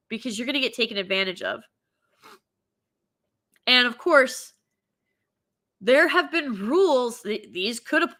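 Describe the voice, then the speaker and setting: A woman hosting a commentary show, talking opinionatedly and stuffy with a cold, dropping into mocking impressions now and then. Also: fairly high pitch. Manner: animated, mocking impressions in asides. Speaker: show host.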